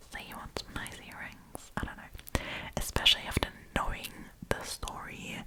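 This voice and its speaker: A woman hosting an ASMR storytime, reading stories aloud and commenting in a casual, chatty way. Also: rough recording. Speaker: host reading and reacting to online stories.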